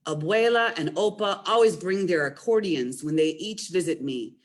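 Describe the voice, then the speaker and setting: Lower-pitched woman hosting children's storytime. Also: lively intonation, moderate pace. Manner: addresses young children directly, encouraging. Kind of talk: children's storytime presenting and picture book reading.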